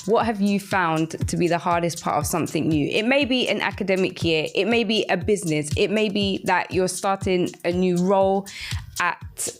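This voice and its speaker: A woman's voice: lowish voice, moderate pace, soft background music. A woman hosts a live chat show, addressing her listeners directly. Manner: addresses listeners directly, greeting them by name. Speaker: radio show host.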